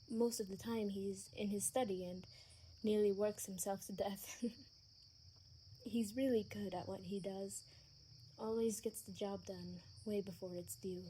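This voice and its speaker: Sheltered vampire daughter, played by a woman: gentle, young, unhurried and warm-toned, for audio roleplay. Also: mid-range voice, slight background noise, close to an everyday voice.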